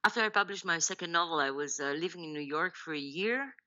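Low-voiced woman in her forties from Israel, thoughtful, restart-prone storyteller on a muffled line. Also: animated, pushed and bright. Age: 40s. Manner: thoughtful, halting, many restarts and fillers, laughs often. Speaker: novelist guest. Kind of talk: podcast interview, personal storytelling.

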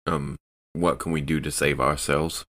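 Nervy male crewman for sci-fi tabletop game, man acting. Deep voice, halting starts, urgent orders. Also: fairly even intonation, fairly bright tone. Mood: urgent, anxious. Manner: stammering, urgent, repetitive. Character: anxious, bewildered, bossy male spaceship crewman.

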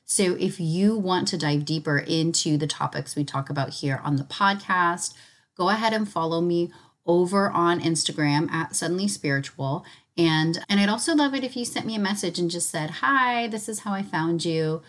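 A woman hosting a casual spiritual podcast, chatty and enthusiastic, with a slightly raspy, hoarse voice as if recovering from illness. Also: fairly low pitch, normal speed. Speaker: podcast host.

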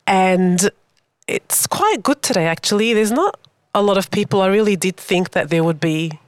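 A woman's voice: mid-range voice, expressive, moderate pace, dry recording, studio quality. A woman, hosting a podcast and asking interview questions in a friendly way. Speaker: podcast host.

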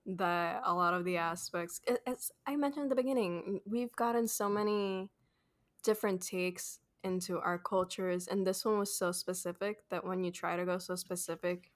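A woman's voice: fairly low pitch, normal speed. A young woman guest in a casual film review chat, chatty and peppered with "like". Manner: chatty, lots of "like".

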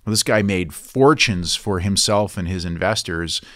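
A man's voice: deep voice, normal speed, fairly bright tone, no room sound. A man hosting an interview, chatty and eager, agreeing readily and asking questions. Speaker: interviewer, investment podcast host. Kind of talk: interview conversation.

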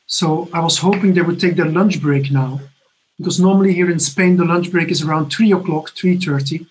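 Man, 50s, from Belgium, fairly high voice, earnest halting testimony, restarts and pauses. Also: fairly even intonation, rough recording. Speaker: recovery conference speaker. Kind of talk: personal testimony talk. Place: home apartment.

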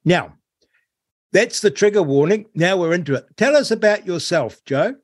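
A man's voice: high voice, lively intonation, moderate pace, no room sound, studio quality. A New man hosting an interview, talking casually and wryly, stretching words for emphasis. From New Zealand. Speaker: host.

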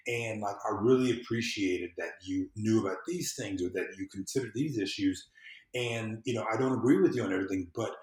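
A man with a fairly low voice speaks in a roomy, echoing space. He tells his experience as a podcast guest in an informal, earnest way, often restarting his sentences as he works through a thought. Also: a little fast. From US South. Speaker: podcast guest, civic consultant and former candidate. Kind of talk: conversational interview, personal storytelling.

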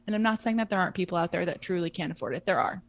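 Twenties woman, podcast co-host, slightly low voice, loose chatty delivery with plenty of fillers. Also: rapid-fire. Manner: chatty, filler-heavy, frequent "like". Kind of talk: casual chat.

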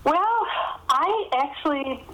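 Female author guest, middle-aged or older, higher-pitched voice, informal anecdotal interview delivery. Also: lively intonation, slow pace, sharp tone, poor audio. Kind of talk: casual interview storytelling.

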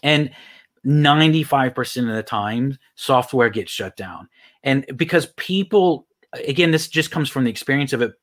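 A man's voice: medium pitch, lively intonation, moderate pace, a bit bright. Rambling man with a mixed accent. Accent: mixed Texan, Irish and British. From United States. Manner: rambling, many restarts. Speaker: online marketing guest. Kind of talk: casual interview talk.

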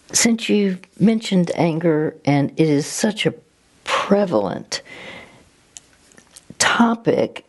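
A woman hosts a faith-based conversation in a very low voice. She talks slowly, pausing and restarting as she searches for her words. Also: darkish tone. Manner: slow, halting, searching for words. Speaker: host.

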